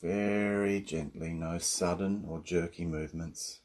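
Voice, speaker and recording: Slow, low-voiced man guiding relaxation. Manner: calm, unhurried, soothing instruction with long pauses. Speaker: yoga nidra teacher. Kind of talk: guided relaxation meditation.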